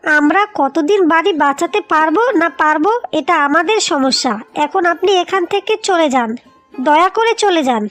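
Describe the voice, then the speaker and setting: Actress doing feisty cartoon housewife, super high voice, firm and scolding, music behind. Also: female voice, lively intonation, normal speed, a bit bright. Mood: firm, defiant. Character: feisty, proud young wife defending her family home. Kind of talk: cartoon dialogue.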